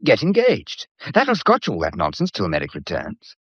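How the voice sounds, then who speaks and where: An actor with a British accent playing a breezy, blunt, gallant painter in a period radio drama, full of jaunty, slangy, teasing banter. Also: male voice, lowish voice, wildly swooping pitch, moderate pace, fairly bright tone, breathy edge, no echo, heavily acted. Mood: wry, protective. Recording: dull, muffled lo-fi audio.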